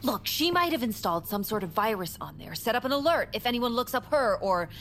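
Tough, sardonic, high-voiced woman. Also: sing-song, fairly quick, a bit bright, slight background noise. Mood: wry, commanding. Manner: dry, sardonic, assertive. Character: tough, defiant ex-Marine woman, sardonic and driven. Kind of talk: audio drama acting.

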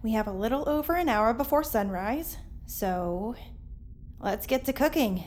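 A woman's voice: on the high side, expressive, unhurried, a little mellow, slight background noise. An actress playing a gung-ho, theatrical young woman in an audio drama, eager and dramatic. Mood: brisk.

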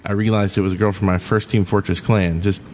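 A low-voiced man talks on a live podcast panel. He rambles casually and informally, with faint noise behind him. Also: level tone, brisk, darkish tone. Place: gaming convention.

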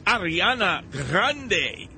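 A man hosting a radio talk show, emphatic and theatrical, repeating words for effect in an animated, opinionated style. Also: high voice, extremely slow, gravelly, faint music behind.

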